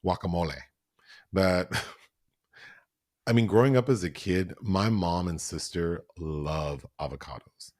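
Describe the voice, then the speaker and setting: A man with a low voice and dry, close sound, chatting casually as a podcast co-host. He talks loosely, with lots of fillers and restarts. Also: slow, long pauses, a little mellow, dry recording. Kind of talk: casual film review chat.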